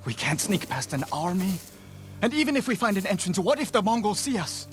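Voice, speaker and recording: Anxious, high-pitched young blacksmith. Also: male voice, big pitch swings, brisk, soft background music. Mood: anxious, doubtful. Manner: worried, protesting.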